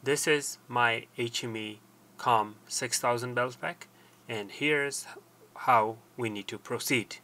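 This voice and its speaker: An older man explaining a technical procedure step by step in a halting, deliberate way. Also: medium pitch, bright, forceful tone. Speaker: tutorial presenter. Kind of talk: hands-on technical tutorial, explanatory. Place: small cluttered indoor workshop.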